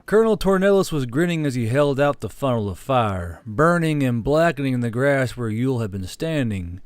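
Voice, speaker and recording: A man's voice: medium pitch, big pitch swings, normal speed, no echo. A man reading a scripted fantasy war story as an audiobook narrator, close on the microphone.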